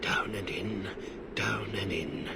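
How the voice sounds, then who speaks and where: An actor playing a half-mad, blighted old warden in a video game, muttering in halting, repetitive fragments. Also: male voice, deep voice, gravelly, background noise, exaggerated voice. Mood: urgent, cryptic. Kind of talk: dramatic video game acting.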